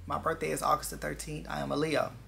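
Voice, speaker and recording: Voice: mid-range voice, level tone, quick pace, gravelly, sharp tone, slight background noise. A vlogger in their twenties chatting casually and reflectively to viewers in an earnest, confiding way, repeating phrases for emphasis. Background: background music.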